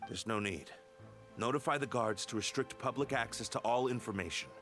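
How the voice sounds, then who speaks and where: An actor playing a stern, grieving guard captain bent on vengeance, speaking gravely and deliberately in a dramatic video game. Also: male voice, medium pitch, lightly acted. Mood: somber. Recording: background music under the voice.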